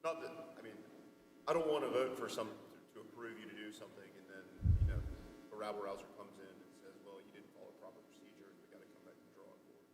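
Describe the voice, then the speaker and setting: A lowish male voice comes from well away from the microphone in an echoing hall with clear rumbling noise. He talks casually and haltingly, a cautious commissioner. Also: fairly even intonation, far from the mic. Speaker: review commission member. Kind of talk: casual meeting discussion.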